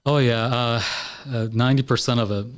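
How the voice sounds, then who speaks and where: A man's voice: medium pitch, fairly even intonation, normal speed, clear, resonant tone. A man of about thirty from Iran, a podcast guest talking casually in a studio with lots of "uh" and "you know" fillers. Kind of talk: casual interview talk.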